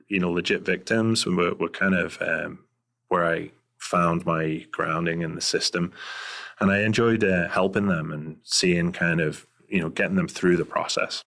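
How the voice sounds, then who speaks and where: A middle-aged man from Liverpool with a Scouse accent, talking through his career as an interview guest in a relaxed way, with many fillers. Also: low voice, vocal fry. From Liverpool, England. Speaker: guest, private investigator. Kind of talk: casual interview talk.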